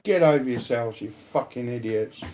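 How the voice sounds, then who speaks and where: A man's voice: medium pitch, moderate pace, mellow, a little hiss or hum. A man speaking informally and without a script, arguing in a blunt, indignant and emphatic way. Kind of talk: unscripted, informal argument.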